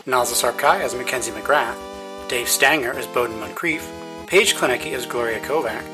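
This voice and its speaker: A man's voice: medium pitch, low-quality recording. A man narrating scripted show credits as an announcer, in an arch, playfully formal manner. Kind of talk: scripted credits narration with tongue-in-cheek patron pitch. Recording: music behind the voice. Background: plucked guitar music.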